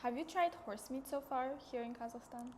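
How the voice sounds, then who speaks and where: A woman's voice: high-pitched, brisk. A young woman hosting a student podcast interview, speaking hesitantly with many fillers and little giggles, in a small office room. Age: young adult. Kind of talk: casual interview.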